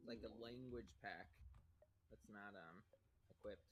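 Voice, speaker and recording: A young man trades mocking jokes in a casual gaming voice chat, laughing often. Loud music and keyboard typing play behind him. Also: fairly low pitch, unhurried, low-quality recording. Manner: mocking, jokey, laughs a lot. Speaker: gaming friend.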